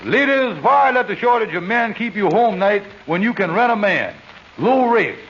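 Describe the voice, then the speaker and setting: An actor playing a scheming, smooth-talking con man hatching money plans, on a vintage radio comedy. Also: sits high, sing-song, a bit bright, full character voice. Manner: fast-talking, wheedling, hesitant uhs. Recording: muffled old radio broadcast, faint hiss.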